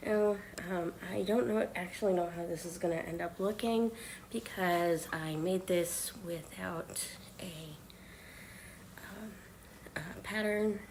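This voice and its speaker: Hoarse soft-spoken woman, slow and halting. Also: on the low side, a little hiss or hum, poor audio. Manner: halting, many ums and uhs. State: hoarse from illness. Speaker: knitting livestreamer. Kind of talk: soft-spoken live stream chat.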